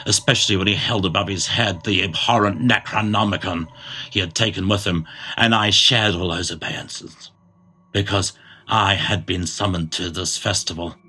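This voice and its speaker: A man giving a theatrical, dramatic narration of a gothic horror tale, phrasing it deliberately, with music behind him. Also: low-pitched, bright, forceful tone, slight background noise.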